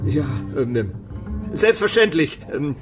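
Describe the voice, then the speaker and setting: An actor playing a flustered, concerned hotel employee with a hesitant manner in a crime radio play. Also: male voice, a little high, sing-song, slow pace, over loud music.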